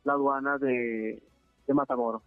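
A man from Venezuela, giving a halting, earnest testimony in a phone interview, full of filler sounds and restarts. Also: medium pitch, steady tone, no echo, faint music behind. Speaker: interviewee, deported migrant. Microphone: phone line. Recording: narrowband phone line, dry.

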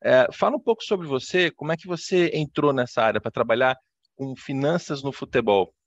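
A man's voice: mid-range voice, brisk, no echo, dull audio. A man with a Brazilian accent hosting an interview, speaking fluently in a friendly, explanatory way. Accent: Brazilian Portuguese. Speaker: podcast host, interviewer.

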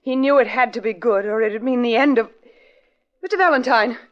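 A woman plays a fretful society wife who speaks in worried, halting phrases. Her voice is high, heard through a dull old broadcast recording. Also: lightly acted. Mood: anxious. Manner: worried, hesitant. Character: anxious wealthy wife of a missing writer. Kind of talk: 1940s radio acting. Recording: dull 1940s radio broadcast.

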